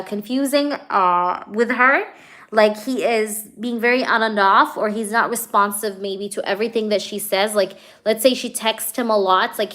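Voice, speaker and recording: A woman doing a live tarot reading for a viewer. She talks in a chatty, energetic way, full of "you know" and "okay", and keeps checking whether things make sense to the viewer. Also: medium pitch. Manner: addresses the viewer directly, asks if things resonate. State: hyper, energetic. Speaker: tarot reader.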